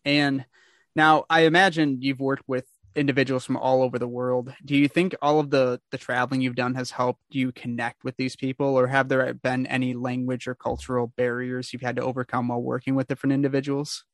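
A man's voice: mid-range voice, level tone, a little fast, dry recording. A young man hosting a casual interview, chatty and upbeat, rambling with restarts, over a video call. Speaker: podcast host.

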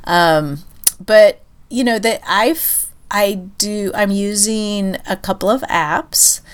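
A woman co-hosting a podcast, chatting casually and thinking aloud with many pauses and fillers, her voice stuffy and nasal from a cold. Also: medium pitch, clear, resonant tone. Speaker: podcast co-host. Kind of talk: casual chat.

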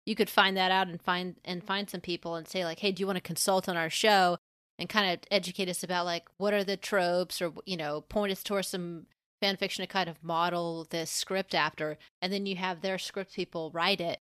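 A woman's voice: fairly low pitch, steady tone, fairly quick, a bit bright, clear, resonant tone, no echo, studio quality. A woman co-hosting a podcast in casual discussion, thinking aloud in a rambling, informal way full of filler words. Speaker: podcast co-host.

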